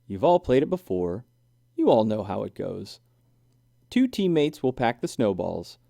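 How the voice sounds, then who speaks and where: A man playing a friendly animal official at storybook games, giving instructions in a clear manner. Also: fairly low pitch, very animated, measured pace, mellow, dry recording, studio quality, full character voice. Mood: instructive.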